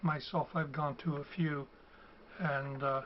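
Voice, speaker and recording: An older man, hoarse from a cold and losing his voice. He talks slowly and haltingly, filling pauses with ums and uhs, in a slightly high voice. The recording is dull and lo-fi, with a faint hum of ambient noise behind him. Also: fairly even intonation. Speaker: video host. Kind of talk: casual explanatory talk.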